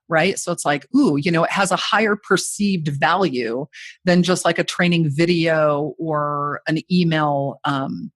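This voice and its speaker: A woman's voice: low voice, no room sound. Chatty woman with a radio voice. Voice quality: voice people call made for radio. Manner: chatty, self-deprecating, frequent "you know". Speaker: guest, course creator. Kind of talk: casual podcast interview.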